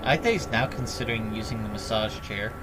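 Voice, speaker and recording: A man's voice: fairly low pitch, fairly even intonation, a little fast, very noisy. A man giving casual, joking and sweary commentary while streaming a video game playthrough. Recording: loud game music behind.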